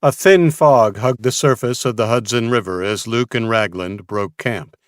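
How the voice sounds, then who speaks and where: A man's voice: medium pitch, moderate pace, no room sound, clean studio sound. A man reading a scripted story as a dramatic audiobook narrator.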